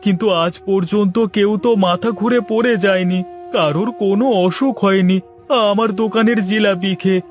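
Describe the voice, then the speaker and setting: A timid cartoon sweet-shop keeper acted by a man, with a very high voice for a man. He sounds anxious and humble, pleading deferentially over light background music. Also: steady tone, brisk, natural voice. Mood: pleading, panicked. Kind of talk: animated cartoon voice acting in Bengali moral story.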